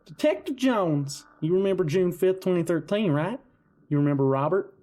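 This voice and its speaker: A man's voice: high-pitched, animated, moderate pace, dark tone. A man playing a mocking, gloating killer out for revenge in an amateur audio drama. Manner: gloating, mocking, chuckling delivery. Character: taunting, vengeful male killer avenging his brother.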